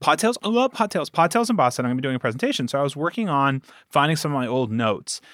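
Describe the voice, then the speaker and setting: A man's voice: fairly high pitch, animated, fast talker, fairly bright tone, no room sound, clean studio sound. A man speaking as a producer and game master, telling stories casually as an interview guest. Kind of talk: casual animated storytelling.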